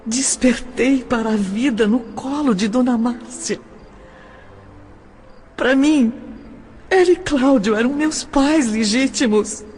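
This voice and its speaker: A woman playing a sorrowful adopted orphan recalling her childhood in an emotive, deliberate audio drama monologue. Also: fairly high pitch, a little mellow, faint music behind. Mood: wistful, tender. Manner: slow, emotive, deliberate delivery. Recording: long hall-like reverb.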